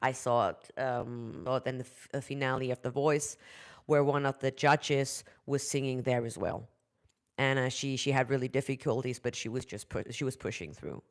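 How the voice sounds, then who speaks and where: A German-accented woman, a vocal coach, talking casually to camera about singing. She speaks in a chatty, looping way, restarting and repeating words and tagging her points with quick "right?" checks. English is her second language, and it shows in her phrasing. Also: very deep voice, steady tone.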